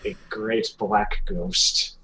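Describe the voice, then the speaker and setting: A man's voice: lowish voice, fairly even intonation, drawn-out words, sharp tone, a little hiss or hum. A man co-hosting a podcast in casual group chat, joking and teasing. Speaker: podcast co-host.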